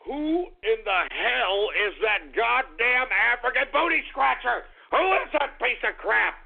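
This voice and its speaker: A man hosting a call-in radio show, ranting, shouting and swearing at callers while losing his voice. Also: extremely high pitch, lively intonation, takes it slowly, creaky. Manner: shouting, profane, abrasive. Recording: phone-like narrow sound.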